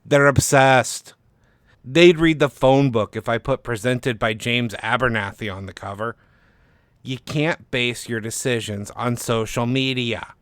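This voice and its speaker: A man voicing a frustrated literary agent in an audio story, measured and persuasive, with firm, worn patience. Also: mid-range voice, fairly even intonation, fairly bright tone, smooth, no echo, studio quality, lightly acted. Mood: frustrated, stern.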